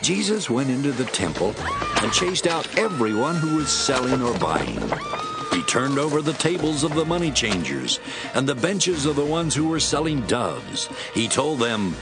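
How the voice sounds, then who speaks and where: A man's voice: mid-range voice, big pitch swings, normal speed, over loud music. A man narrating scripture as a scripted dramatic story.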